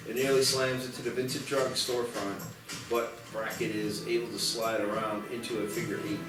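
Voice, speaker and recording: A man reading scripted action narration at a screenplay table read. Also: medium pitch. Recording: echoey, distant mic, loud background music. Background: music.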